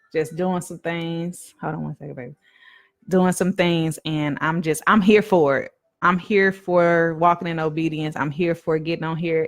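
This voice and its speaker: A woman minister giving a casual live-streamed devotional talk, emphatic and repetitive in a preaching cadence, addressing viewers as y'all. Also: deep voice.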